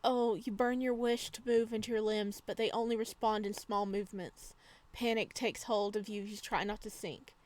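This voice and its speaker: A woman's voice: mid-range voice, fairly even intonation, normal speed, darkish tone. A woman hosting a gaming video, reading visual novel narration aloud. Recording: some background noise under voice.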